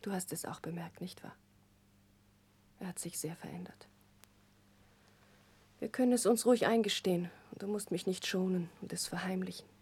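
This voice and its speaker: An actress playing a gentle, anxious young woman in a 1960s German radio drama, speaking earnestly and confidingly in a breathy, hushed voice. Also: lowish voice, steady tone, normal speed, long pauses.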